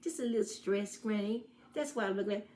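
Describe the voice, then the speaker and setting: A woman's voice: medium pitch, a little fast, darkish tone, pitched above the performer's own voice, lightly acted. Woman putting on a sly wolf, gloating, scheming, echoey room. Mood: coaxing. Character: sly hungry male wolf.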